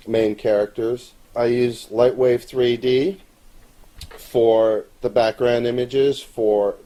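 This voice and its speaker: A middle-aged man giving an earnest, measured, plain-spoken personal account in an interview. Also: mid-range voice, dark tone, low-quality recording. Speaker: amateur animator.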